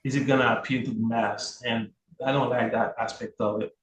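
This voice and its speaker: A man with a Haitian accent, an author speaking earnestly in a casual interview, pausing often to think. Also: mid-range voice, steady tone. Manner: thoughtful, earnest, with frequent "uh" pauses. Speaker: author guest. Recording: roomy echo, faint background noise.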